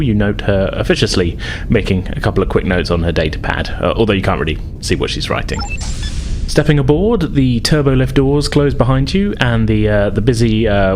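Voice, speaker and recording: A man narrating a tabletop sci-fi role-playing game as game master, descriptive and somewhat halting. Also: fairly low pitch, background noise. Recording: music playing behind the voice.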